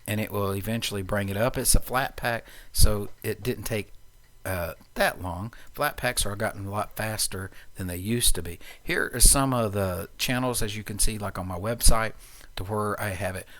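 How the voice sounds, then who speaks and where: A man presenting an informal, unscripted software tutorial, explaining things step by step. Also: fairly low pitch. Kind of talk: informal screencast walkthrough.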